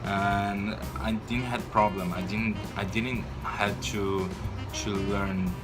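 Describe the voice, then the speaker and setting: A man's voice: fairly low pitch, fairly even intonation, takes it slowly, music in the background. A man in his twenties from Brazil, speaking English with a Brazilian Portuguese accent in a casual personal vlog, hesitant and shy, with frequent restarts. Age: 20s. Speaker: vlogger.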